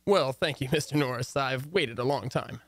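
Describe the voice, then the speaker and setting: A man's voice: mid-range voice, moderate pace, a bit bright, dry recording, close to an everyday voice. A man playing a dry, wry radio-comedy husband. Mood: eager, polite. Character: wry, long-suffering husband and office worker, narrating his story. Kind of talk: old-time radio comedy acting.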